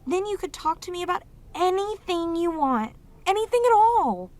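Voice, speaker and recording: A woman's voice: extremely high pitch, sing-song, normal speed, darkish tone, dry recording. A woman voicing a lonely, sweet, possessive demoness in an intimate roleplay audio drama, speaking tenderly and hesitantly to the listener. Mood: devoted, eager. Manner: tender, hesitant, slightly stammering, clingy, emotive. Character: lonely, sweet, possessive female demon, sealed away, obsessively devoted.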